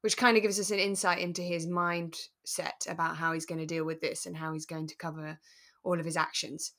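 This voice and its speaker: Woman, lowish voice, chatting thoughtfully on a podcast, explains things as she goes. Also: little pitch movement, a little fast, fairly bright tone. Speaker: podcast co-host. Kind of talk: relaxed discussion of a radio drama.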